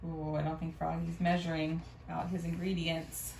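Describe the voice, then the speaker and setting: A woman reading a storybook aloud to children in an expressive, teacherly way. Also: low voice, moderate pace, creaky. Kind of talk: animated read-aloud with questions to children. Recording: roomy, distant mic, faint noise.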